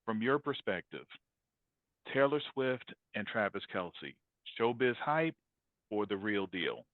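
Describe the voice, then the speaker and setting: A man's voice: fairly low pitch, very animated, takes it slowly, dry recording. A man hosting audience questions formally, with courteous, ceremonious phrasing. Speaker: professor hosting a class interview. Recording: thin phone-like sound.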